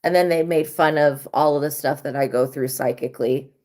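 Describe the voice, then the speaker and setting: A woman tarot reader hosting a live stream in a casual monologue, with a hoarse, worn-out voice and a blunt, profane way of talking. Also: deep voice, steady tone, a little fast, darkish tone. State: hoarse, lost voice.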